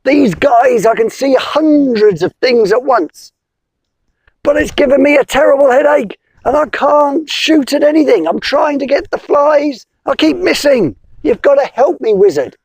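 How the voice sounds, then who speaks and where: Guy doing a whiny frog, high and loud, grumbly storybook voice. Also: animated, unhurried, creaky, no echo, full character voice. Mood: complaining. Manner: whining, shouting.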